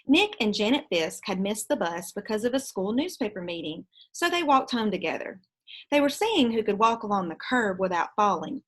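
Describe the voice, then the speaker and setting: A woman's voice: mid-range voice, big pitch swings, moderate pace. Woman teacher reading aloud. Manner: explanatory, repeats reminders. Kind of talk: children's book read-aloud with explanations to pupils.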